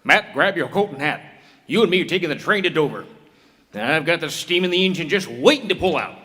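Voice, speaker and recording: A man's voice: on the high side, animated, brisk, pushed and bright. An actor playing a talkative, folksy railroad fireman who rambles a bit, in a stage reenactment of an old-time radio drama. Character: chatty male railroad fireman sidekick. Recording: reverberant theater hall.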